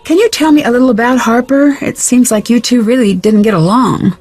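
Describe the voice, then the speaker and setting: A woman playing an inquisitive, earnest young detective in a video game, speaking politely and clearly. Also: fairly high pitch, brisk, darkish tone, dry recording, faint music behind, close to an everyday voice.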